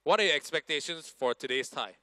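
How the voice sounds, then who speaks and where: A man's voice: a little high, animated, fast talker, bright, forceful tone, dry recording. A man hosting a pre-match interview at a sports event as presenter.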